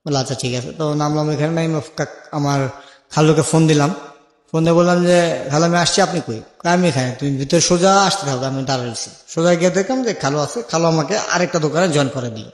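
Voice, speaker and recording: A man's voice: fairly high pitch, fast talker. A young Bangladeshi man telling his life story as an interview guest, colloquially and with remembered dialogue acted out. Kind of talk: personal storytelling in a radio-style interview. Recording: echoey with long reverb.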